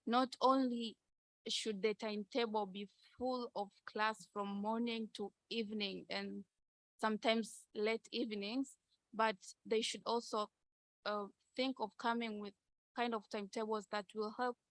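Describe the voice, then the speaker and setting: A woman's voice: on the high side, flat delivery, a little slow, no echo. Earnest woman with a dry close sound. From Tanzania. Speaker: conference participant. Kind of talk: floor contribution.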